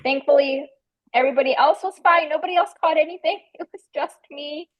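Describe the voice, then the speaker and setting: A woman's voice: high-pitched, brisk. An American woman around her thirties or forties, telling an anecdote casually as a podcast guest, on slightly dull audio. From United States.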